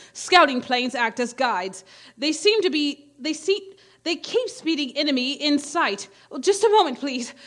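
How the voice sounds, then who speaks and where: An actress playing an earnest radio news announcer, reading bulletins in a formal, clipped, urgent way in 1930s radio drama style. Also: female voice, high voice, a bit bright.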